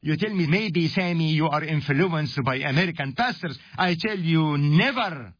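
A man's voice: on the high side, animated, moderate pace, breathy edge, no room sound, muffled recording. A man from Egypt giving an earnest radio gospel message, speaking straight to the listener. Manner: earnest, direct address to the listener. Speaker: evangelist and ministry host.

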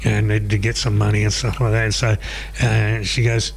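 A man's voice: lowish voice, level tone, a little fast, vocal fry, a little hiss or hum. Chatty man on the radio. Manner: chatty, laughs often, restarts. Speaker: radio host. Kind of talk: casual chat.

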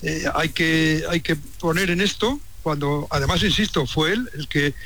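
A man speaking on a podcast, hesitant and deliberate. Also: fairly high pitch, bright, forceful tone, slight background noise, low-quality recording. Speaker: former club president. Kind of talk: podcast interview remarks.

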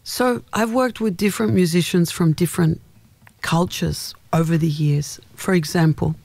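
A middle-aged woman with a naturally deep voice, a singer talking in a radio studio interview, thoughtful and halting, with many restarts. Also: vocal fry, darkish tone, no room sound. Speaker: guest singer.

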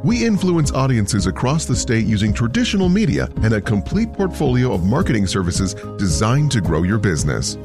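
A man voicing a scripted radio commercial in a polished announcer delivery. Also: on the low side.

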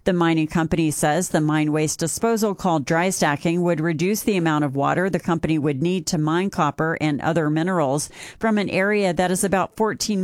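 A woman's voice: fairly low pitch, no room sound, studio quality. Woman voicing a radio news report. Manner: even, clear reporting voice. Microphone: studio mic.

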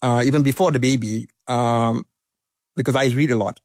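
A man in his early forties in a casual chat, telling stories in an animated, self-deprecating way. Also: mid-range voice, moderate pace, dry recording, studio quality. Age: 40s.

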